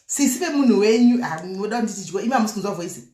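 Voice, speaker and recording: A woman's voice: medium pitch, animated, very fast, warm tone. A Zimbabwean woman, a native Shona speaker, hosting a live prank-call show in casual chat that mixes languages. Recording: echoey room.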